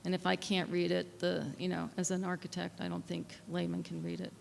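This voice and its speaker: A soft-spoken woman, a local resident and architect, giving earnest public testimony at a hearing, explaining with technical terms and many fillers. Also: fairly low pitch, monotone, a little fast, gravelly. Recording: echoey hall, faint noise.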